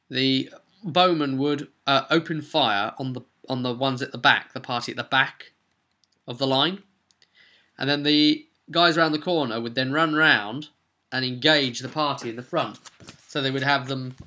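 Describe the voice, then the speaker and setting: Male game master, very bright, forceful mid-pitched voice, chatty informal session recap. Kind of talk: casual video recap of a role-playing game.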